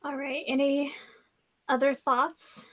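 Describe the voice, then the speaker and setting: A woman's voice: high voice, little pitch movement, slow. A woman hosting a casual book discussion, giving blunt opinions with lots of "like". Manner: frequent "like", opinionated. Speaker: discussion host. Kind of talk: casual chat about books.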